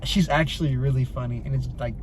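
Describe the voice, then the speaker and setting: A man chatting casually as co-host, relaxed and slangy. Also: mid-range voice, fast, crowd noise. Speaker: co-host answering Q&A. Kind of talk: casual couple chat. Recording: noisy background hum.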